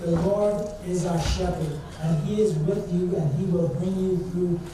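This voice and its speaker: A man's voice: high voice, steady tone, a little slow. A young man from Trinidad giving an earnest, conversational sermon as a student speaker at a church service, asking his listeners questions. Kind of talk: informal sermon. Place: church sanctuary. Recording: distant and very echoey church hall, faint noise.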